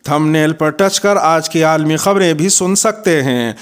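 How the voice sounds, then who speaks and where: A man presenting scripted news as host, in a formal, measured bulletin manner. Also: on the high side, clear, resonant tone.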